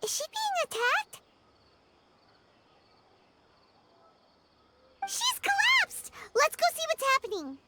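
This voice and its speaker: Squeaky, excitable little fairy girl. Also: normal speed, heavy background noise, full character voice. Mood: alarmed. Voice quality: squeaky, childlike. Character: small, excitable, childlike girl fairy companion who chatters helpfully. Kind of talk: video game voice acting.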